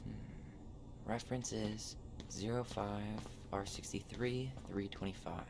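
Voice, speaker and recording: A man's voice: lowish voice, steady tone, a little slow, gravelly. An actor playing a wounded, angry young American man in a naturalistic video game drama. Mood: muttering, focused. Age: young adult. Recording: noisy game capture.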